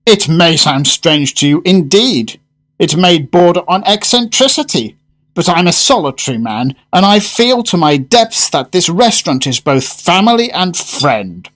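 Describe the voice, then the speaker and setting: A man's voice: high voice, very animated, a little slow, a bit bright, full character voice. Radio play male actor: eccentric solitary gourmand, formal and florid, grave yet widely swooping intonation. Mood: solemn. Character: solemn, eccentric solitary gourmet, devoted restaurant regular. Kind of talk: radio drama acting.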